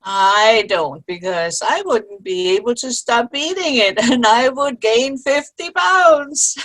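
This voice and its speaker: A woman's voice: on the high side, animated, slow, a bit bright, exaggerated voice, rough recording. A woman playing a wry, teasing older friend in a comic play reading. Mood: easygoing. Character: wry, indulgent old girlfriend at afternoon tea. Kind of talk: virtual play reading, comedy. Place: video call.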